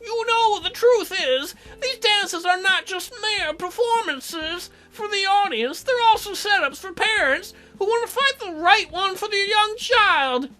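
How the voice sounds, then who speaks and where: A woman's voice: very high voice, animated, a little slow, hardly any pauses, pushed and bright, faint music behind, pitched above the performer's own voice, full character voice, poor audio. A woman voicing a blunt, bawdy grandmother who teases her granddaughter in a visual novel game. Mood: teasing. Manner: sweetly teasing, crude jokes.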